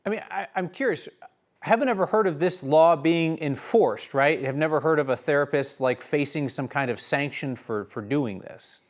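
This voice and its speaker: Man, American accent, news anchor, scripted newscast narration, fairly high pitch. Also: moderate pace.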